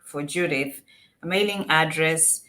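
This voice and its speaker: A woman's voice: low voice, a little slow, sharp tone. A Kenyan-accented woman presenting an informal step-by-step tutorial, repeating and rephrasing her points as she explains. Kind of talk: informal step-by-step screen walkthrough.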